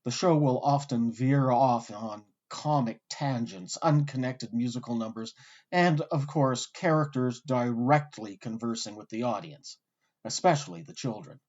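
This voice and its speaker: A man hosting with scripted documentary narration, delivered in a wry, articulate storyteller's way with deliberate emphasis. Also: mid-range voice, a little mellow, highs cut off. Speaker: host and narrator.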